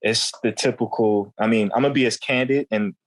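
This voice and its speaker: A man's voice: on the low side, steady tone, brisk. A young man in his twenties, a guest telling candid stories in loose, slangy conversation, often saying "you know what I'm saying.". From United States.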